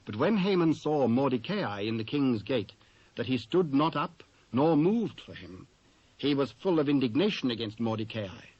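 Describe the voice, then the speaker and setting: A man with a British accent reading scripture as a measured, formal narrator. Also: mid-range voice, very animated, darkish tone, no room sound. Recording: dry, dull old cassette transfer.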